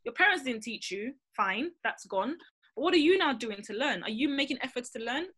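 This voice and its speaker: A woman's voice: a little high, slightly rough. A woman, founder of a language school, talking emphatically in a casual video-call interview from a room at home. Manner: emphatic, animated, quick to laugh. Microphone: laptop webcam on a video call.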